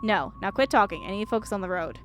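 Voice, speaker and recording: A woman's voice: medium pitch, expressive, fast, a bit bright, soft background music, lightly acted. A woman voicing a curt, weary, guarded woman from a story game, terse and dismissive. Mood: irritated.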